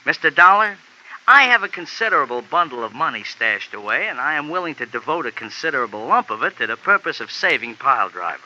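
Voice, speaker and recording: An actor playing a small, excitable male jockey in a 1950s radio drama, talking fast, wordy and emphatic. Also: on the high side, slight background noise, full character voice. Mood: earnest. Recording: lo-fi old radio broadcast.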